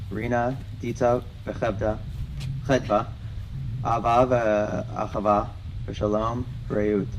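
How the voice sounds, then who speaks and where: A man reciting a traditional blessing at a wedding in a measured way. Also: on the low side, a little hiss or hum, low-quality recording. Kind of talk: chanted Hebrew wedding blessing.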